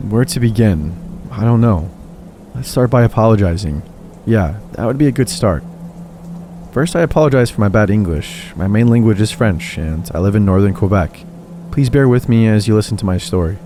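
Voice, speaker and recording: Male narrator, low-pitched and mellow, calm scripted horror reading. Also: moderate pace, slight background noise. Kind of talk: scripted narration of reader stories. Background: faint music.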